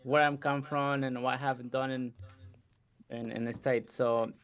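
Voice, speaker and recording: A middle-aged man from Ecuador whose speech carries a light Ecuadorian Spanish accent. He tells his story in a loose, conversational way and often drops in "you know" and short laughs. His voice sits at an ordinary middle pitch. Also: flat delivery, measured pace. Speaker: guest entrepreneur. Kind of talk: casual interview storytelling.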